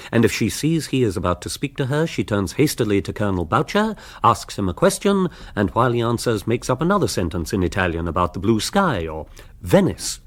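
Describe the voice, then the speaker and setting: The narrator of a radio social comedy. His voice is fairly deep, and he tells the tale with urbane, wry wit and a very varied melody. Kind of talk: scripted radio drama narration.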